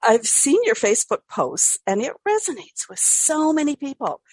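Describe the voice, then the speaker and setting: A woman hosting a podcast interview, asking questions in a lively, laughing way. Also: high voice, moderate pace, dry recording. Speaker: podcast host. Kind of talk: conversational interview.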